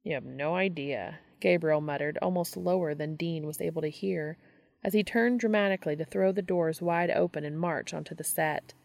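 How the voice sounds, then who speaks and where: Creaky-voiced woman reading a story aloud. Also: fairly low pitch, normal speed, a little mellow, no room sound. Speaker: reader of fan fiction audio episodes. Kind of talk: scripted narration, audiobook-style reading.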